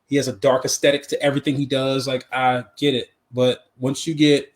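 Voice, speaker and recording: A man's voice: medium pitch, flat delivery, a little fast. A man chatting casually and informally as a podcast co-host, giving his opinions and repeating phrases for emphasis. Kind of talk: casual live podcast chat. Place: live podcast.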